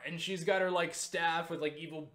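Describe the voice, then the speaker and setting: A young man casually recounting a story for viewers, excitable and full of swearing and filler words. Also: on the high side, moderate pace. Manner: excitable, swears freely, lots of fillers and laughs. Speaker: YouTuber answering viewer questions.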